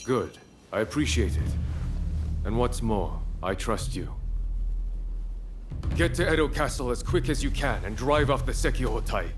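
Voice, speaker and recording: An actor playing a composed military commander in a video game cutscene, giving orders in a serious, direct way. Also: male voice, mid-range voice, animated, unhurried, long pauses, noisy background, close to an everyday voice. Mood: trusting, urgent.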